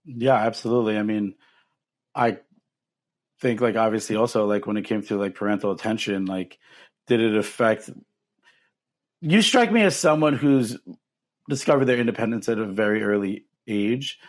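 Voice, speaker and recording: A man's voice: lowish voice, moderate pace, long pauses. A man hosting an interview podcast, friendly and a little hesitant, with many fillers. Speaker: podcast host. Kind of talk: casual interview.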